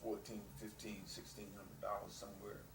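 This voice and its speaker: A man in casual discussion, speaking haltingly in short pieces as if thinking aloud. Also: lowish voice, flat delivery, recorded from a distance. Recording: roomy echo, off-mic, faint noise.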